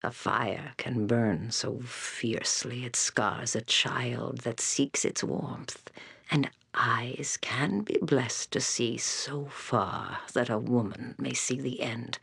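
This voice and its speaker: Blind ancient seeress for a fantasy game: husky, crackling whisper of a voice, haunted, resigned, speaking in solemn riddles with pauses. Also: female voice, extremely low pitch, fairly even intonation, a bit bright, full character voice. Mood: sorrowful, resigned. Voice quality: breathy, raspy, whispery creaking voice. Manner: slow, solemn, cryptic speech with pauses. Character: blind old woman seer hiding in ice caves, fearful, fatalistic. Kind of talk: video game character acting.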